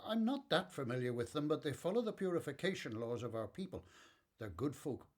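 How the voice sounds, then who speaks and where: A man acting in a radio Bible play as a gentle, frank and devout elderly father, measured and reassuring. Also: medium pitch, big pitch swings. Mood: thoughtful, advising. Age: older.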